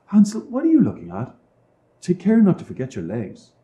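A man's voice: high-pitched, huge pitch swings, normal speed, reverberant, narrow, tinny audio, voice pushed up. A man voicing a poor, anxious woodcutter father in a storybook reading, with a pleading, strained delivery. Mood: chiding.

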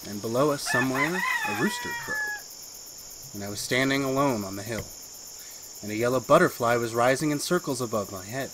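A man's voice: medium pitch, huge pitch swings, fairly quick. Wry ageless husband played by a man, noisy recording. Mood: wry, reminiscing. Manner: wry, reflective storytelling. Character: ageless centuries-old man married to a witch, wry, uneasy. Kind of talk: retro 1940s radio drama narration and acting.

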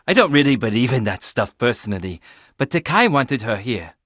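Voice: mid-range voice, very animated, fairly quick, fairly bright tone, dry recording, clean studio sound. An actor playing a quirky, chatty young handyman who loves puzzles in a video game, enthusiastic with sardonic, casual asides. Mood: wry, confiding. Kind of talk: video game character dialogue.

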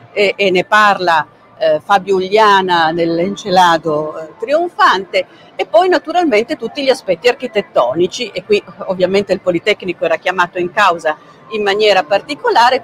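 A middle-aged woman, a curator presenting a book at a public talk, speaking in a measured way with frequent hesitant pauses, recorded by a camera at some distance in a large book fair hall. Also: mid-range voice, a little hiss or hum. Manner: measured, frequent "eh" pauses, gestures while talking.

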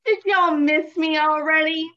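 A woman's voice: sits high, fairly even intonation, unhurried, bright, forceful tone. A woman playing a late, busy assistant director who talks in a syrupy, condescending Southern style. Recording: dull lo-fi sound.

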